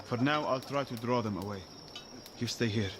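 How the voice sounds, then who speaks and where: An actor playing a wary, protective shepherd guide in a video game, with a wry, urgent, low-key way of instructing. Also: male voice, normal speed, background noise, close to an everyday voice. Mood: wry, cautious.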